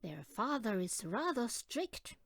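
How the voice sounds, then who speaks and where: A woman reading a children's picture book aloud as an audiobook, in an expressive, deliberate storytelling manner. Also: fairly high pitch, no room sound, clean studio sound. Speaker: audiobook reader.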